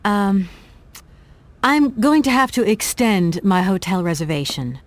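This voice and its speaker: A woman's voice: mid-range voice, unhurried, natural voice. An actress voicing a polite, bemused young professional woman in an adventure game, speaking in a thoughtful, conversational way. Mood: bemused, hesitant.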